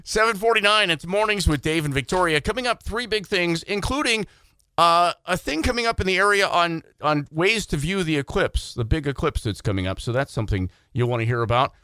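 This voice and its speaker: A man's voice: a little high, expressive, brisk, pushed and bright, clean tone, no echo. A man hosting a morning radio show with upbeat, playful banter into a close studio microphone. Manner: playful, joking.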